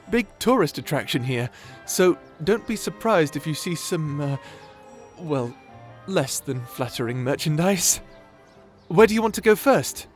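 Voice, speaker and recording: A man playing a shy, nerdy young wizard in a fantasy audio roleplay, stammering, bashful and apologetic. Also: on the high side. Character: shy nerdy young male wizard, flustered, eagerly polite.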